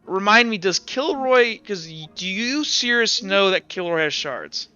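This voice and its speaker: A man runs a tabletop game in a bright, forceful voice. He narrates casually and gives rulings off the cuff, over faint music, in slightly muffled sound. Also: high voice, lively intonation, measured pace, clear, resonant tone. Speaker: game master.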